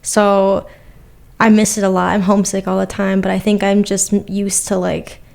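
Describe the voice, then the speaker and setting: A young woman from Milwaukee talks as a podcast guest. She rambles loosely through her answers and leans on fillers. Her voice sits at an ordinary middle pitch. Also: level tone, moderate pace, gravelly, a little mellow. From Milwaukee, Wisconsin. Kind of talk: casual conversation.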